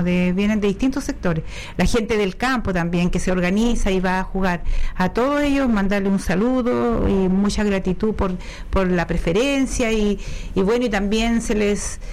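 A Chilean woman speaks into a studio mic as a radio guest. She talks in a chatty way with frequent restarts, and her voice sits at a middle pitch. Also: a little mellow. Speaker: guest, co-owner of a sports venue. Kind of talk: radio interview. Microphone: studio microphone.

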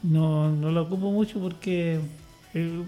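A man's voice: fairly high pitch, fairly even intonation, a little slow, warm tone, faint music behind. An older man speaking in casual radio chat, hesitant and rambling, with many restarts and fillers. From Chile. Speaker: radio guest, church choir leader.

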